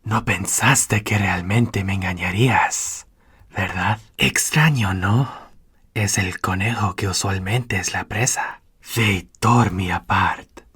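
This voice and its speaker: A man voicing a broken, sinister, eerily playful old rabbit animatronic in a video game, with a husky, rasping voice. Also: low voice, unhurried, bright, forceful tone, no echo, clean studio sound, full character voice. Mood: eerie, gloating. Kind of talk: video game character voice lines.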